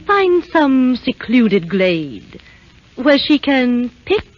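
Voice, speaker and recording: Dull old radio recording, wicked queen, slow n imperious, voice swings dramatically. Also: high-pitched, big pitch swings, full character voice. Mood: icy command. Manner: imperious, deliberate, menacing. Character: cold, commanding, murderous evil queen.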